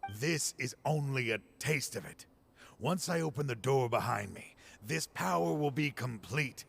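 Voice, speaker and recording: An actor playing a grieving older man, once a partner of the hero, who speaks in a measured, weary and somber way in a game cutscene. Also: mid-range voice, expressive, vocal fry, husky, full character voice. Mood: menacing. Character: grieving older male ex-partner turned foe.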